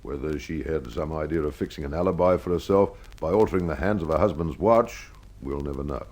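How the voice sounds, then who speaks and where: A man narrating a scripted old-time radio detective story as its host, in a measured, dramatic storytelling manner. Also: rumbling low, darkish tone.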